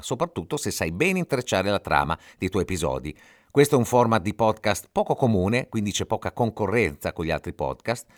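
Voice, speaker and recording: Lively low-pitched man hosting a podcast. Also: dry recording, studio quality. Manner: didactic, addresses listener directly. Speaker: podcast host. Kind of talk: scripted explanatory narration.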